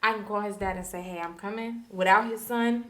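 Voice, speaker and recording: A woman's voice: medium pitch, fairly even intonation, a little fast. A young woman co-hosting a podcast in casual chat, blunt and joking with plenty of slang. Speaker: podcast co-host.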